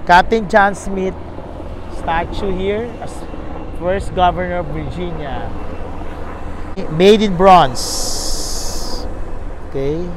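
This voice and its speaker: A man's voice: high voice, a little hiss or hum, poor audio. A man in his thirties narrating a walking tour outdoors on a lapel mic, reading signs aloud in a plain explanatory way. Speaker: travel vlogger. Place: outdoors at a riverside historic site. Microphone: lapel mic, selfie camera at arm's length.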